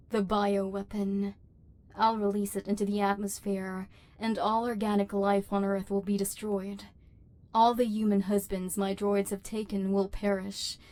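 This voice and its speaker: A woman's voice: mid-range voice, a little mellow, close to an everyday voice. A woman voicing an imperious robot overlord who despises humans, speaking in formal, machine-like phrases in an ASMR roleplay. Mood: cold, scheming. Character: haughty, human-hating female robot overlord, secretly lonely. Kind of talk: ASMR roleplay, dramatic villain monologue.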